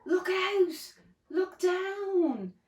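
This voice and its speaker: A woman voicing a gentle, forlorn young male dinosaur in a children's storybook, crying out plaintively. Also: sits high, slow pace, pitched above the performer's own voice. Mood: alarmed warning. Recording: roomy, echoey, distant microphone.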